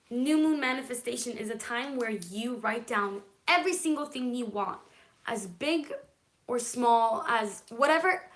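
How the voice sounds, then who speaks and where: A chatty woman from California, a native Hebrew speaker, hosting a relaxed live stream. She answers viewer comments in a reassuring tone and keeps checking in with her audience. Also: on the high side. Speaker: livestream host.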